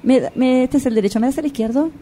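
A woman's voice: sits high, fast, dark tone. A woman with an Argentine accent playing a fussy, hesitant shoe-shop customer who repeats herself, in an improvised radio theatre scene. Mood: eager. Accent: Rioplatense Argentine Spanish. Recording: amateur workshop recording with faint room noise.

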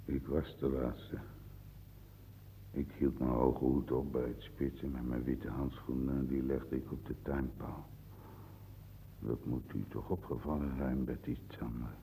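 An actor playing an eerie gravedigger conjured from memory in a radio play, with a slow, ominous, rumbling delivery. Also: male voice, flat delivery, gravelly, warm tone, slight background noise, full character voice, low-quality recording. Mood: ghostly, solemn.

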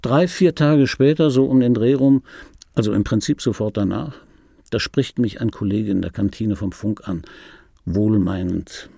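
A grumbly wry middle-aged guy telling his story in a radio drama, gravelly, dark relaxed voice. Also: lowish voice, normal speed, no room sound, clean studio sound. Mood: wry, rambling. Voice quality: rough, gravelly. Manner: sardonic, conversational storytelling. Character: disgruntled, wry male film dubbing actor telling his life. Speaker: narrator and lead of a radio play. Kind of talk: scripted radio play monologue.

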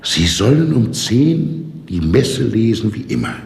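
An actor playing a dry, mocking male jailer in a 1960s radio drama, ironic and unhurried. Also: mid-range voice, sing-song, vocal fry, a little mellow, reverberant, in a large hall, slight background noise.

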